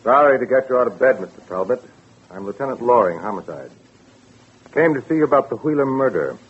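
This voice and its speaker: An actor playing a dry, businesslike police lieutenant in a 1940s radio drama, terse and probing. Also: male voice, medium pitch, lively intonation, a little fast, slight background noise, lightly acted. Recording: old radio recording, narrow band.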